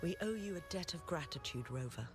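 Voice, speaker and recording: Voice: mid-range voice, animated, brisk, music in the background, close to an everyday voice. An actor voicing a formal, courteous town official. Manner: formal, polite. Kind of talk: game voice acting.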